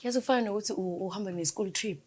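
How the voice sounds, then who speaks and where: A woman's voice: medium pitch, rapid-fire, a little mellow. A young South African woman, a gospel singer, answers interview questions earnestly and switches between Zulu and English mid-sentence. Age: young adult. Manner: earnest, grateful, talks about faith.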